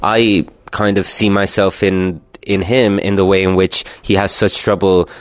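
A man with a fairly low voice hosts a radio interview. He talks conversationally, phrasing his questions politely and at some length. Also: level tone, a little slow. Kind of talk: conversational film festival interview.

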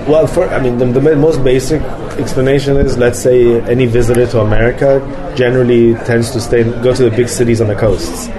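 A man who grew up in London, a film director answering interview questions in a halting way, with many fillers and restarts. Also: medium pitch, fairly even intonation, low-quality recording. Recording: crowd noise behind.

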